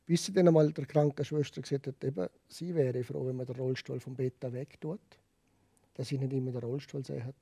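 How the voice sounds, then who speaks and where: A man with a Liechtenstein accent telling his personal story candidly as a podcast guest, emphatic and repeating phrases, in a gravelly, husky voice. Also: a little high, dark tone, no echo.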